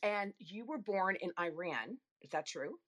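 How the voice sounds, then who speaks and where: A woman from Italy co-hosting a live audio show and introducing a guest in a gushing, excited way with many fillers. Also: mid-range voice, a little slow. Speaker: show co-host. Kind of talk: casual chat.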